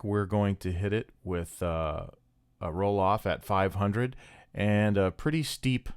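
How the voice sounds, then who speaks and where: A man's voice: fairly low pitch, clean tone, no room sound, studio quality. A man casually explaining an audio production technique in a tutorial style.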